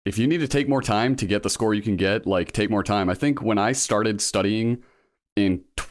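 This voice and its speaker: A man's voice: on the low side, normal speed, no room sound, clean studio sound. A man in his early thirties, a guest in a casual interview, talking earnestly and rambling with lots of fillers. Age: 30s. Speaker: guest, law school applicant.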